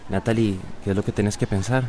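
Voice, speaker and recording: A man's voice: lowish voice, steady tone, fast talker, darkish tone, slight background noise, close to an everyday voice. An actor playing a teasing, easygoing teenage boy in a radio drama. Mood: curious, deflated.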